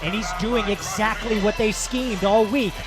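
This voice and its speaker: An American man with a fairly high voice gives color commentary on a football game. He analyzes in a conversational, opinionated way. Music is clearly audible underneath. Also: moderate pace, low-quality recording. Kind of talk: live football analysis between plays.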